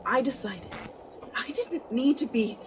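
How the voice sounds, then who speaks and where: A woman's voice: a little high, expressive, a little slow, noisy background. A woman playing an unhinged, murderous young thrill-seeker in a theatrical, darkly gleeful dramatic monologue. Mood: manic amusement.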